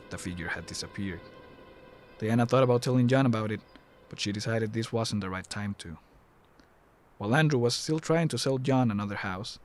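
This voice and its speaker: A man narrating an audio drama in a scripted storytelling style. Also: on the low side.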